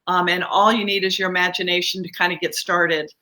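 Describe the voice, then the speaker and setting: A woman's voice: on the low side, level tone, brisk, pushed and bright. A middle-aged or older woman, a guest children's author, chatting casually and explaining things a little hesitantly. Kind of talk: casual interview chat.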